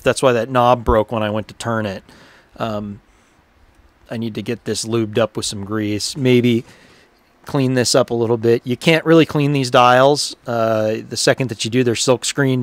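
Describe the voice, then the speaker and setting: Man, medium pitch, informal, unhurried, thinking aloud, hands-on repair commentary, dry sound. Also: fairly even intonation, no echo. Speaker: repairer presenting his own workshop video.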